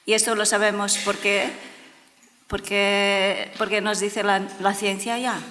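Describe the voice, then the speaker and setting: Brazilian-accented woman, conference speaker, slow measured delivery, earnest and emphatic, long hall reverb. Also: mid-range voice, flat delivery, a bit bright. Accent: Brazilian Portuguese. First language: Portuguese. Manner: earnest and emphatic, repeats words for stress. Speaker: education speaker and consultant. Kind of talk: conference talk.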